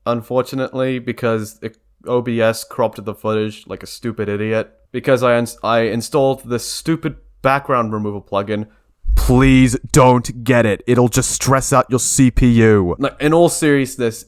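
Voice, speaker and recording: A man's voice: fairly low pitch, smooth. A young man talking casually and earnestly in a rambling, informal way, as a video creator addressing viewers. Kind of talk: casual vlog talk to camera or mic.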